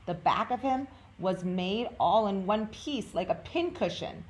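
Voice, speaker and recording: A woman's voice: mid-range voice, animated, a little slow, low-quality recording. A woman volunteer reading a children's story aloud as narrator. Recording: clear background noise.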